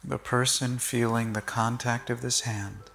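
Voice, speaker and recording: A man leading a guided body-scan meditation in short, gentle, measured phrases with long pauses. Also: mid-range voice, steady tone, creaky.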